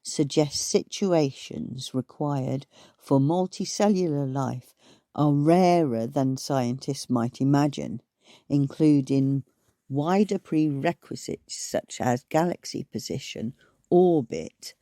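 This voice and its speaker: A man acting in an audio drama as a dryly dismissive lecturer with a measured, faintly menacing way of talking. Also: mid-range voice, warm tone, dry recording, studio quality. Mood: dry, lecturing.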